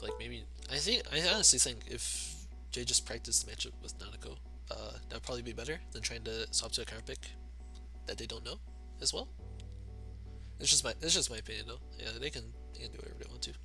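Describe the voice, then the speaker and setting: A young man commentates a fighting game tournament on a live stream, his voice soft, low and quiet even at full mic volume. He talks in a relaxed, easygoing way and chuckles often between remarks. Game music plays clearly behind him. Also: fairly quick. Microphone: desk mic turned to max. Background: game music playing.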